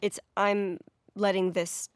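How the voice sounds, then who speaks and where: A woman with a mid-pitched voice gives casual developer commentary on game scenes. She is earnest and analytical as she explains. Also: flat delivery, takes it slowly, no room sound, studio quality.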